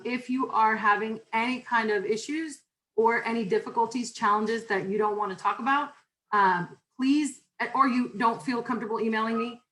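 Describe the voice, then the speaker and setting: A loud-voiced woman leads an online class over a video call. She talks in a chatty, informal way, rambling a little and restarting her sentences as she goes. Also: on the high side, poor audio. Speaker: university instructor. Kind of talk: online class welcome and syllabus talk.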